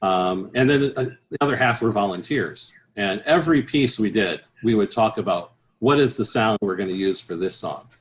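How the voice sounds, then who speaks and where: A man speaking as an interview guest, explaining things conversationally as a choral professional, with halting, repeated phrases. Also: fairly low pitch. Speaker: interview guest, professional bass singer and choir director.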